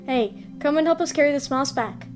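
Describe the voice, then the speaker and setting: A woman's voice: sits high, normal speed, over music, natural voice. A woman voicing a grumpy, dry young apprentice cat in an amateur audio story. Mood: dismissive.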